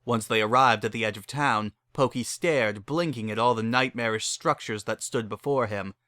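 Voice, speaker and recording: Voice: mid-range voice, normal speed, fairly bright tone, no room sound. A narrator giving a dramatic scripted reading of a fan fiction story.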